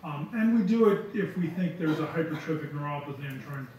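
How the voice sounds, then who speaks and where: A middle-aged man answers questions from a podium, relaxed and repeating himself as he thinks. His voice sits a little high. The sound is distant and dull, with strong hall echo and faint hiss. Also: fairly quick. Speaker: visiting lecturer answering questions. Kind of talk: conference Q&A answers. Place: large lecture hall. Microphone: podium mic from far.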